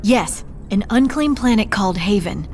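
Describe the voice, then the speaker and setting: A woman's voice: medium pitch, lively intonation, normal speed, darkish tone, close to an everyday voice. A woman playing an idealistic, earnest scientist in a video game cutscene, speaking articulately. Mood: earnest, concerned.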